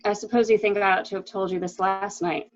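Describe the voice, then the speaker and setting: A woman's voice: mid-range voice, steady tone, a little fast, close to an everyday voice. A woman acting a fretful, secretive wife who covers for her lover, in a dramatic mystery reading with dull sound. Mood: guarded.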